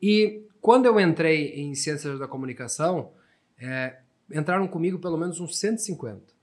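A man's voice: fairly high pitch, animated, normal speed, a little mellow. A man in his thirties from Portugal with a European Portuguese accent, a podcast guest telling stories in a chatty way with many restarts and tag questions. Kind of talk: casual storytelling conversation.

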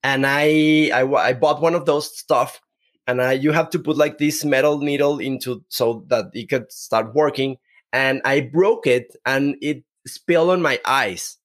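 Mexican man, guest, halting and self-correcting, mid pitch. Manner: halting, many restarts, apologetic. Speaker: podcast guest. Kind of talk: conversational interview.

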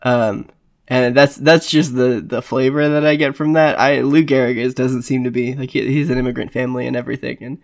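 A man's voice: medium pitch, steady tone, fairly quick, gravelly. Rambling, easygoing male podcast co-host. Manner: rambling, many restarts and filler words. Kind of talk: casual chat.

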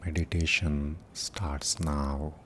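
Man, meditation teacher, very deep voice, extremely slow pace, solemn prayerful delivery, long pauses, rumbly background noise. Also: little pitch movement, a bit bright. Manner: solemn, deliberate, with long pauses between short phrases. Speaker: meditation teacher and podcast host. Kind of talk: guided meditation with prayerful invocation.

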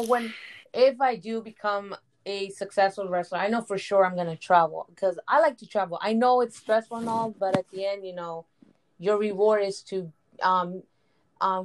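A woman's voice: medium pitch, a little mellow, rough recording. A woman speaks in a casual, looping way, circling back with "you know" again and again. She sounds like an aspiring wrestler chatting openly in an interview. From United States. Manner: rambling, heavy with "you know".

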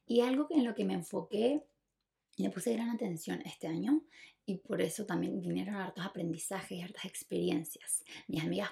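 A woman's voice: medium pitch, gravelly, darkish tone. A woman with a Chilean accent hosting a podcast alone, talking casually and reflectively, confiding and giving advice straight to the listener. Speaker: podcast host.

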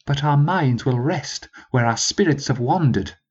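A pompous, blustering sergeant voiced by a man who stumbles over his grand words while rallying his troops. His voice sits far above the reader's own, husky and swinging widely in pitch. The recording sounds dull and lo-fi. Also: animated, unhurried, darkish tone. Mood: rousing, pompous. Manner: stammering, grandiose speechmaking. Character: pompous blustering male army sergeant, middle-aged.

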